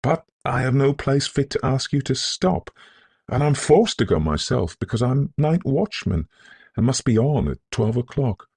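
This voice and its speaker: A man's voice: medium pitch, very animated, measured pace, creaky, a little mellow, husky, no echo, pitched above the performer's own voice, exaggerated voice. A man voicing a humble, kindly working-class night watchman in an audiobook, anxious and deferential. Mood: troubled, respectful. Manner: deferential, concerned.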